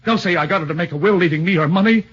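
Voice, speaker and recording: A nervous, stammering young suspect. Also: male voice, high voice, rapid-fire, husky. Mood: earnest. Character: anxious young accused husband. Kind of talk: 1940s radio acting. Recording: muffled old radio broadcast.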